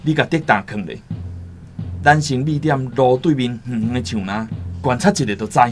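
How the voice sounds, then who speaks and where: A man's voice: medium pitch, expressive, natural voice. Man acting an earnest islander over music. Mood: grave, cautious. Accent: Taiwanese. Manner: deliberate, solemn. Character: earnest worldly male islander, cautious returned seafarer. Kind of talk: radio drama acting with first-person storytelling. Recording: music behind the voice.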